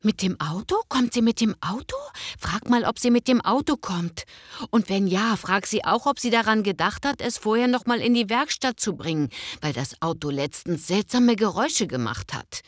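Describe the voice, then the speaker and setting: A woman playing a fussy, worrying mother who nags insistently and excitedly in an acted language-learning dialogue. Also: medium pitch, normal speed, no room sound, clean studio sound, natural voice. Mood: worried. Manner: insistent, nagging. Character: fussy, excited, worrying mother expecting her grown daughter.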